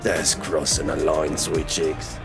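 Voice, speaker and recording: A man voicing a rough, hot-tempered male goblin who swears and threatens. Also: extremely low pitch, level tone, slow pace, music in the background, heavily acted. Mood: snarling. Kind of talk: audio drama roleplay.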